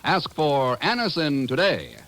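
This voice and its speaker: A man's voice: fairly high pitch, huge pitch swings, unhurried, heavy background noise. A man reading scripted copy as a polished, emphatic announcer in 1950s radio style.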